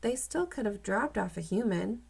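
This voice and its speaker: A woman's voice: mid-range voice, expressive, normal speed, creaky, a little mellow, husky, pitched above the performer's own voice. A woman reading aloud as a chatty, speculating young boy in a children's storybook.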